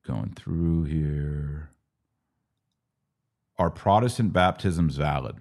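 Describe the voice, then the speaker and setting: Very deep-voiced American man, teacherly. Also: extremely slow, a little mellow. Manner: didactic, checking with rhetorical questions. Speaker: podcast host answering religious questions. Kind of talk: conversational Q&A teaching.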